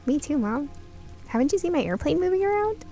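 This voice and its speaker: Woman as a high-voiced, plaintive little ghost boy. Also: sing-song, a little fast, mellow, soft background music.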